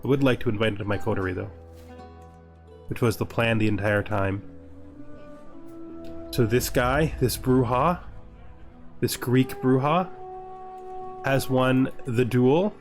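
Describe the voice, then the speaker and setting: A man's voice: medium pitch, unhurried, pauses often, faint music behind. A man giving casual gameplay commentary, reading game text aloud and reacting with dry, offhand humour. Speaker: gaming video host.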